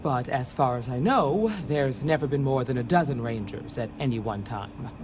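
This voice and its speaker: A woman's voice: a bass voice, normal speed, noisy background. A woman playing an earnest, folksy female ranger recruiter in a video game. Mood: serious, measured. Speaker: recruiting officer. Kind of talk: video game character dialogue. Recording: game audio with loud music bed. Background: music.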